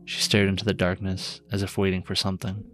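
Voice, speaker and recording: A man's voice: sits low, monotone, fast, lightly acted. A man playing an eerie storyteller swapping spooky tales in an audio drama. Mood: ominous, hushed unease. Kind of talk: audio horror anthology acting. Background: music.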